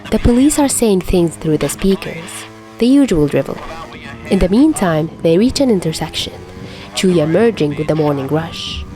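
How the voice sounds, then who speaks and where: A woman reading fan fiction aloud as an amateur narrator, in an expressive, dramatic storytelling style. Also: fairly low pitch, normal speed, soft background music.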